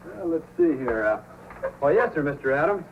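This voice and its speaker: A man's voice: a little high, expressive, normal speed, creaky. An actor playing an eager, earnest, polite teenage boy in an old-time radio drama. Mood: polite curiosity. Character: Dave, eager, pleading teenage boy who loves dogs. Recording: muffled, noisy old radio recording.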